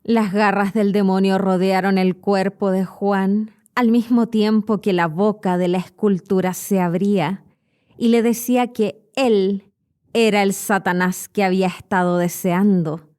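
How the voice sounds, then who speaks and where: A woman's voice: mid-range voice, steady tone, a little mellow. Chilean woman narrating a spooky tale. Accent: Chilean Spanish. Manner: clear, measured storytelling, slowing for suspense. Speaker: podcast host and Spanish teacher. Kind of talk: storytelling narration for learners.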